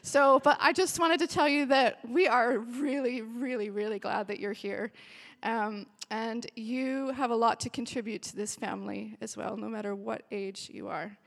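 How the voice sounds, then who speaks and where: High-pitched woman in her 40s, worship director welcoming everyone, chatty and emotional, big hall reverb. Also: fairly even intonation, normal speed, vocal fry, few pauses. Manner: warm, chatty and emotional, with bursts of cheering. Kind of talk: welcome address to a church retreat. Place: large hall with a live audience.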